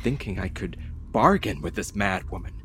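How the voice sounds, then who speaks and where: A man's voice: low-pitched, lively intonation, moderate pace, a bit bright. An actor playing a frightened young male courier, narrating his captivity solemnly and theatrically in an audio play. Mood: uneasy narrating. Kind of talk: dramatic first-person narration in audio play. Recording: clear ambient noise behind voice.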